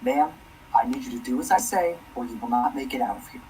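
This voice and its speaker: An actor playing a firm, official police officer and dispatcher in a radio play. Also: male voice, medium pitch, fast, mellow, a little hiss or hum, lightly acted, low-quality recording. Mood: stern warning. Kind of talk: dramatic radio play acting.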